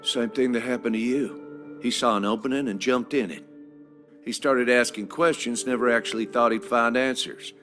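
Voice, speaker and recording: An actor playing a cold, corrupt small-town villain with a folksy, threatening manner in a video game. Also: male voice, mid-range voice, steady tone, normal speed, fairly bright tone, faint music behind, lightly acted. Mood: cold menace.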